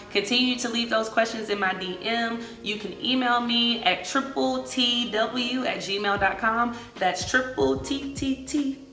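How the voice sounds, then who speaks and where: A woman's voice: on the high side, pushed and bright. An American woman in her late twenties chatting casually and expressively to viewers as a travel vlogger, in colloquial language. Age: late 20s. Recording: echoey room, music underneath.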